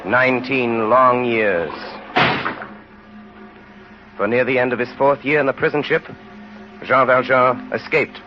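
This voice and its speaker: An actor as the grave narrator of a tragic tale, solemn and measured in a dramatic, rhetorical radio style. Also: male voice, medium pitch, steady tone, close to an everyday voice. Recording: noisy, dull old radio recording.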